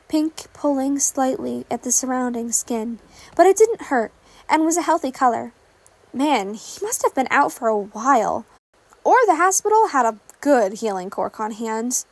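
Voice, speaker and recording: A woman narrates a scripted story in a high voice. The sound is dry and close, as an amateur audio fiction reading with no room around it. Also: expressive, unhurried, no room sound. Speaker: fan fiction reader.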